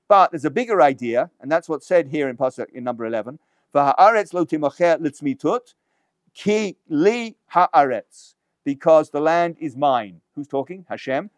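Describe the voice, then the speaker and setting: A rabbi teaching a Torah class, explaining a text step by step with rhetorical questions and dry asides. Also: male voice, on the high side, expressive, moderate pace, no room sound. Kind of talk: lecture-style text study.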